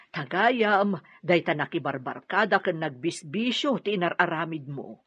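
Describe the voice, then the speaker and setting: A woman's voice: lowish voice, animated, unhurried, fairly bright tone, husky, no room sound, heavily acted. A woman with a Filipino accent acting a nagging, responsible elder sister in an Ilocano radio drama. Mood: scolding. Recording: dry, dull lo-fi radio drama audio.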